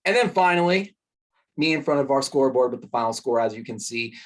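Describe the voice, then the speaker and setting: A young man with a hoarse voice, casually recapping a football game he attended, rambling with fillers. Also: mid-range voice, lively intonation, fast talker. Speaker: sports podcast host.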